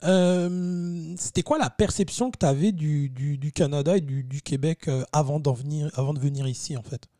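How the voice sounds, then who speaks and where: A man's voice: on the high side, moderate pace, few pauses, mellow, no echo, studio quality. A man hosting a casual podcast interview, chatty, often restarting his questions and saying he is a bit tired. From France. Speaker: podcast host.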